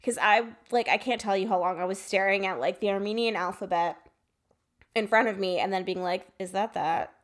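A woman's voice: medium pitch, fairly quick. A woman chatting as a podcast co-host. She talks informally and playfully, with an effusive streak, as if speaking with a close friend. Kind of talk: casual podcast chat.

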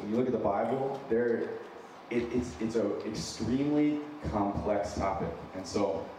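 A man's voice: mid-range voice, warm tone. A man in his thirties with an American accent touched by Minnesotan gives a conversational sermon to an audience, informal, self-deprecating and earnest, repeating phrases for emphasis. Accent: American with a slight Minnesotan touch. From United States, born in San Diego and raised in Wyoming. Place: large echoey room with an audience. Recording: very echoey noisy hall, distant mic.

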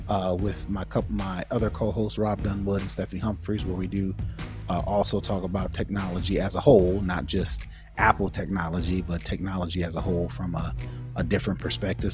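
A man co-hosting a podcast in casual chat, explaining things informally and circling back to rephrase. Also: sits low. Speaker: podcast co-host.

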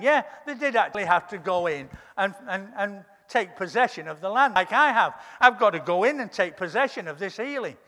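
A pastor preaching a sermon in a fervent way, his voice croaky from a cold. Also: high voice, bright, forceful tone, long reverb tail. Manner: fervent preaching, repeats words when building up.

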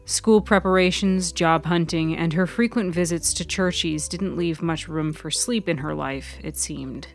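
Low-voiced woman narrating a story. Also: measured pace, few pauses, faint music behind. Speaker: narrator and author of fiction podcast.

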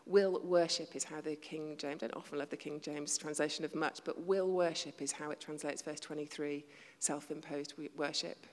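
A woman preaching a sermon to her congregation, talking conversationally, poking fun at herself, and dropping into hushed asides. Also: deep voice, fairly even intonation, moderate pace, few pauses. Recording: long hall reverb.

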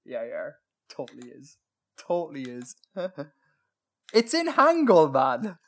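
A man's voice: on the high side, wildly swooping pitch, slow. A man co-hosting a podcast in casual chat, talkative and self-deprecating, with plenty of ums. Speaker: podcast co-host.

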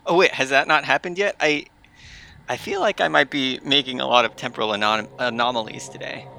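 A man's voice: mid-range voice, fairly quick, creaky, sharp tone. A man playing a tabletop game, improvising in character in a flustered, stammering way.

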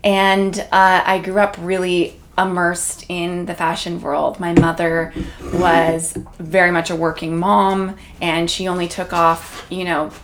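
Low-voiced woman chatting reflectively. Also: little pitch movement, a little slow, a little hiss or hum. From Canada. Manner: reflective, chatty, many fillers. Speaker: guest. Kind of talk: conversational interview. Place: room in Toronto.

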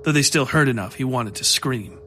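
A man's voice: medium pitch, a little fast, sharp tone, slight background noise. A man reading scripted horror fiction as narrator, with music playing under his voice.

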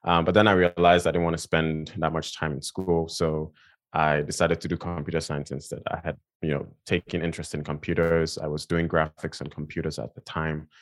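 Very deep-voiced man from Nigeria. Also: fairly quick. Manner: relaxed, thoughtful, many fillers and restarts. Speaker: guest designer. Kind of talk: casual interview talk.

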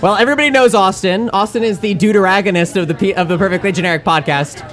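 A woman's voice: mid-range voice, fast talker, crowd noise. A woman hosting a live podcast in a bar, joking loosely with guests and laughing a lot between her fillers. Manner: laid-back, joking, full of fillers and laughter. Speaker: podcast host.

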